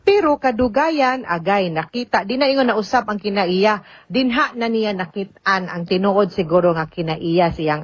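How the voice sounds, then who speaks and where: Female radio advice host, Cebuano Bisaya accent, mid pitch, emphatic conversational delivery, dry lo-fi sound. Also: no echo. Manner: conversational, emphatic, with interjections and English code-switching.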